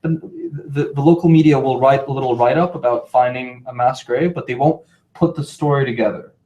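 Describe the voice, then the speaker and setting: Male panel speaker from New York. Middle-register voice, halting, digressive delivery with repeated words. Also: medium pitch, warm tone, poor audio. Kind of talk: informal panel discussion.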